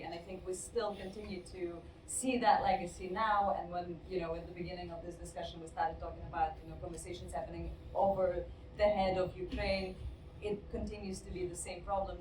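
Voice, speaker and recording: A woman moderating a panel discussion, measured and frank in her remarks. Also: fairly low pitch. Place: lecture hall. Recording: roomy, distant, noisy hall.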